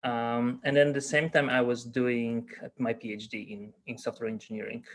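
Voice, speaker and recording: A man with a Polish accent answers interview questions in a measured, explanatory way. He stops to think now and then, his voice at an ordinary middle pitch. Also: level tone. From Poland. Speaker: guest, agile coach.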